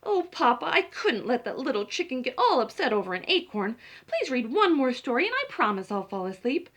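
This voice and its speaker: An eager little chicken girl from a bedtime storybook, voiced by a woman. She pleads and promises excitably. Her voice is high and swings widely in pitch. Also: a little fast, full character voice. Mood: excited.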